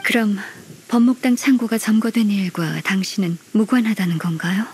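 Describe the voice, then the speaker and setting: A woman voicing a polite, dutiful young secretary in an anime-style game, formal, measured and firm. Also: mid-range voice, darkish tone, slight background noise, close to an everyday voice.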